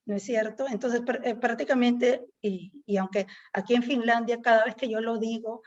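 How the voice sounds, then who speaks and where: A woman's voice: a little high, steady tone, normal speed. Reflective Peruvian woman, slightly muffled. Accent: Peruvian Spanish. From Peru. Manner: reflective, with frequent "¿no?" tags and "este" fillers. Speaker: guest poet. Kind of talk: conversational interview.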